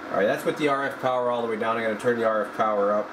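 A man in a radio workshop, talking casually and haltingly while he tests equipment. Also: medium pitch, background noise. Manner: relaxed, halting, thinks aloud while working. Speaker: radio repair technician. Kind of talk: casual hands-on demo.

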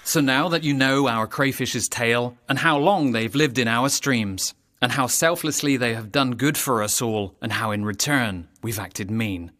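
A man's voice: medium pitch, normal speed, few pauses, bright, forceful tone. A man narrating a rhyming educational tale in a playful, theatrical storytelling manner, speaking straight to the listener.

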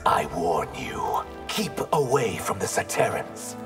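An actor playing a threatening, protective shape-shifting reptile warrior in a fighting game, giving stern warnings. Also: male voice, low voice, big pitch swings, takes it slowly, full character voice. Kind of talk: fighting game character dialogue. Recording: game music behind the voice.

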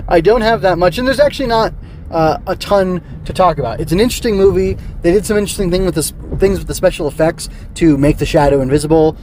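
A man's voice: high-pitched, quick pace, a little mellow, a little hiss or hum. A middle-aged man hosting a solo podcast, talking casually and rambling with digressions. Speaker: podcast host. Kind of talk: casual solo review chat.

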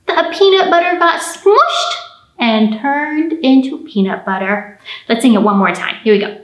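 Woman, children's storytime host, unhurried delivery, playful and encouraging, echoey indoor room. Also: high voice, lively intonation. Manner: simple, playful encouragement addressed to young children. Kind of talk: storytime reading and instruction for small children. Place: medium-large indoor library room with painted mural. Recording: roomy indoor echo.

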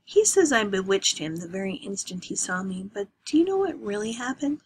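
A woman voicing a gentle, wistful middle-aged mother who speaks softly and tenderly. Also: mid-range voice, sing-song, brisk, slight background noise, lightly acted.

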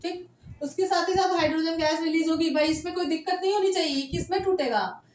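A young woman teaching chemistry at a whiteboard through a headset mic, checking in with students often as her voice tires and goes hoarse. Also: extremely high pitch, fast talker. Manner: talks straight to students, coaxing and checking in. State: voice tiring and going hoarse. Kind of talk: classroom lecture at a whiteboard. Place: small indoor room by a whiteboard. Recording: echoey room with steady background noise.

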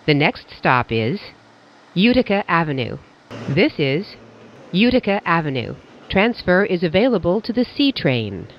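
Slow formal subway announcer voice, clipped recorded announcements, muffled sound with noise behind it. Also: medium pitch, big pitch swings. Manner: clipped, measured, formal phrasing. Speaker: train announcer. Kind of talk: scripted recorded transit announcements. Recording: dull lo-fi audio with clear background noise.

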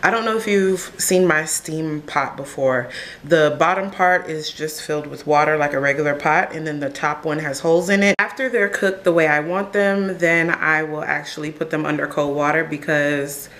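A woman's voice: deep voice, steady tone, moderate pace, fairly bright tone. A young woman talks casually to her camera, rambling through stories with lots of "like." She records herself at arm's length, first in a parked car and then at home in her kitchen. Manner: chatty, rambling storytelling with frequent "like". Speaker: vlogger. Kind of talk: casual vlog chat to camera. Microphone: camera held at arm's length.

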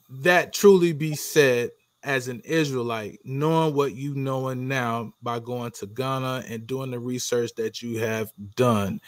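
A man's voice: mid-range voice, no room sound. A middle-aged man hosting an interview over a video call, loose and casual with many fillers. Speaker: interview host. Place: dim room with dark curtain.

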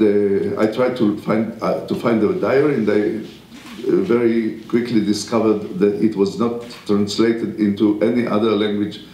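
A man's voice: fairly low pitch, mellow. Older man lecturing at a podium, Hebrew native. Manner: halting, many pauses and restarts. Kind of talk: university lecture. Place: wood-panelled lecture room. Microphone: podium mic. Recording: echoey lecture room.

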